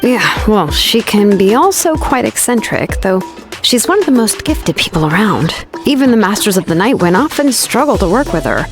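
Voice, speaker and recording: A woman's voice: medium pitch, very animated, moderate pace, lightly acted. An actress playing a laid-back, candid young woman ally in an English video game, talking casually and evenly in temper. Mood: matter-of-fact. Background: game background music.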